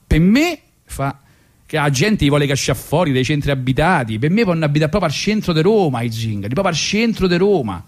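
A man's voice: fairly high pitch, big pitch swings, brisk. Rambling ironic Roman radio man. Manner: ironic, rambling, lots of asides. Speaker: radio host. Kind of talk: comic storytelling monologue. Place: radio studio.

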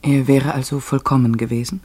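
An actor in a German radio play playing an earnest, searching man, with a husky voice and literary delivery. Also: mid-range voice, little pitch movement, a little fast, darkish tone, close to an everyday voice. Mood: questioning, troubled. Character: earnest, searching male narrator haunted by a mystery.

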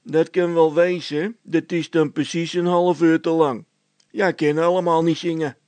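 A man's voice: on the high side, level tone, measured pace, a little mellow, dry recording, pitched above the performer's own voice, lightly acted. A man voicing a peevish, spiteful road labourer who is easily irritated, in a storybook tale.

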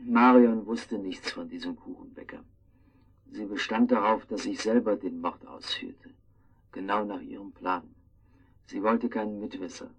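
An actor in a German radio drama playing a guilt-ridden, cowardly man who is groggy and hungover, speaking with a heavy, halting tongue. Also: fairly low pitch, slightly rough, rough recording. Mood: sombre, confessing. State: groggy, hungover after drink and pills.

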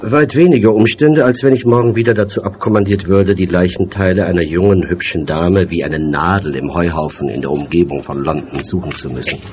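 A man's voice: deep voice, brisk, hardly any pauses, a little hiss or hum, lightly acted. An actor playing a sinister villain who poses as a helpful policeman in a radio play, solicitous with an undertone of menace. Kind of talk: German radio play acting. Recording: dull sound with loud music behind.

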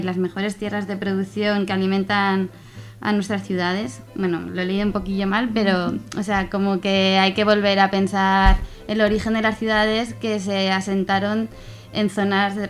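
Woman being interviewed, casual, feels for words, pretty flat melody, faint music. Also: medium pitch. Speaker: guest, activist.

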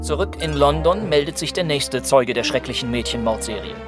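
A man's voice: medium pitch, expressive, brisk, lightly acted. A man narrating a scripted, matter-of-fact recap of a detective radio play. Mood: factual, suspenseful. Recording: loud music under voice.

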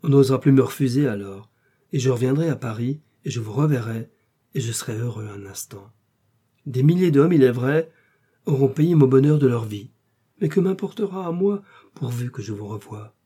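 A man acting out a lovestruck, reckless duke from a period romance. His voice is very dark and slightly husky, and he declaims with fervent, pleading passion and a melancholy streak. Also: medium pitch, animated, normal speed. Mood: ardent.

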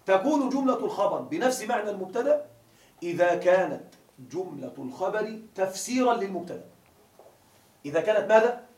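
High-voiced man teaching in an echoey classroom. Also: animated, brisk, darkish tone. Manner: explains step by step, quizzes students with rhetorical questions. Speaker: Arabic grammar teacher. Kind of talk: classroom grammar lesson. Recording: echoey classroom from a distance, faint hiss.

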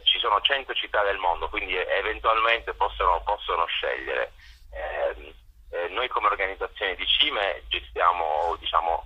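A man's voice: sits low, pushed and bright, husky. A man organizing a photo exhibition, speaking in a radio interview by phone, hesitant with many fillers and restarts. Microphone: phone line. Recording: dull phone line.

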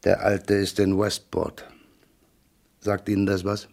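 A tough, wary crook from a noir radio play. The voice is deep with a heavy gravelly creak. He talks slang in a casual, sure-of-himself way, as if nothing rattles him. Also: level tone, takes it slowly, a little mellow, heavily acted. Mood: wary, probing. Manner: offhand, slangy. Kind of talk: noir radio drama acting.

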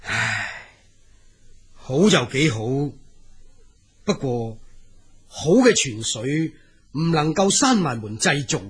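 A man's voice: fairly high pitch, slightly rough, full character voice. A man with a Cantonese accent playing an exiled dragon spirit in an audio drama, earnest, humble and principled, with hesitant, deferential yet firm delivery. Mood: wistful, regretful.

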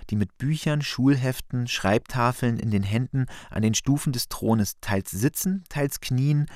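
A man's voice: mid-range voice, darkish tone, no echo, studio quality. A man narrating stage directions for a radio play in a scripted reading.

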